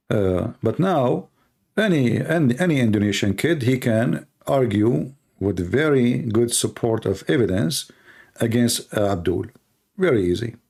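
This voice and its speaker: A man from the Middle East, a native Arabic speaker with an Arabic accent, hosting an informal live call-in show in a sarcastic, mocking manner with halting phrasing. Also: mid-range voice, creaky, darkish tone. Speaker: host, religious apologist. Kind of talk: live call-in broadcast, rambling informal commentary.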